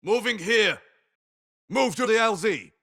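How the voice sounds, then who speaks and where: A man's voice: high-pitched, lively intonation, measured pace, pitched above the performer's own voice, full character voice. A man voicing an aggressive Polish soldier from a military shooter game, barking urgent battlefield callouts with a Polish accent. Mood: urgent, shouting. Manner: shouted, urgent, forceful delivery.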